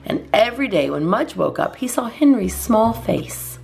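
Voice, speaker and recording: A woman reading a children's storybook aloud as a storytime host, expressively and in measured phrases with deliberate pauses. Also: a little high, sing-song, creaky, a little mellow, faint music behind.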